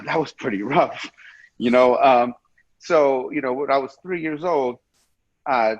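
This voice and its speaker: A man's voice: medium pitch, dry recording. A man in his forties from Iran, a guest on an interview over a video call, talking earnestly with many repeats and restarts. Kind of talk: casual interview talk. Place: indoor room with ceiling fan, video call. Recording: muffled dry video call audio.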